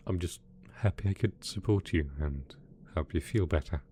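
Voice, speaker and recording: A man playing a doting, possessive mad scientist boss in an ASMR roleplay, soft-spoken, coaxing and sweetly sinister. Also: deep voice, normal speed, a little hiss or hum, close to an everyday voice. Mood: affectionate, soothing. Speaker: voice actor in audio roleplay.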